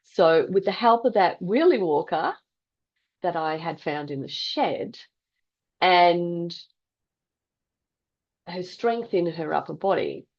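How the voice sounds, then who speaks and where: A middle-aged woman tells a personal story in a reflective, deliberate way with dramatic pauses. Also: fairly low pitch, lively intonation, lo-fi sound. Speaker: health vlogger telling a personal story.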